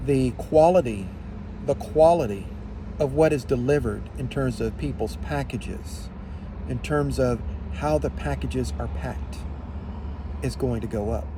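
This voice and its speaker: A man giving an unscripted opinion monologue in deliberate, halting phrases, with emphatic repetition. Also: medium pitch, background noise.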